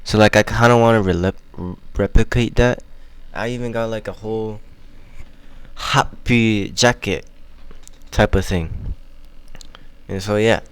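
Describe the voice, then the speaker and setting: A young man explaining things in a casual, unscripted vlog, halting and slangy as he thinks aloud. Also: on the low side, steady tone, clear, resonant tone. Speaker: video host.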